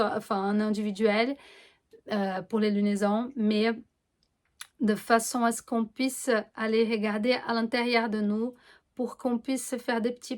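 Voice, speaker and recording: Higher-voiced woman explaining earnestly. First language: Portuguese. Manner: earnest, halting, with many repeated words. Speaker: astrologer. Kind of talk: casual explanation to camera.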